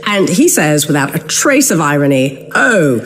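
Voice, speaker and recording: A woman's voice: low-pitched, sing-song, a little hiss or hum. A woman playing a dry, sardonic scientist in a video game, telling stories wryly and unhurriedly. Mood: wry, amused. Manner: wry, unhurried, emphatic storytelling. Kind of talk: video game voice acting, anecdote.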